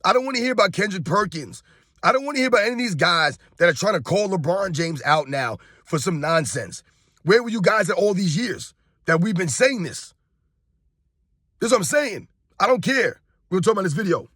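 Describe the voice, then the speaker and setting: Hoarse guy ranting to his viewers, kinda high voice, super dry close sound. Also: animated, brisk, a bit bright, no room sound. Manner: emphatic, repetitive, addresses viewers as guys. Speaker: sports commentary YouTuber. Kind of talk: casual opinion rant to camera.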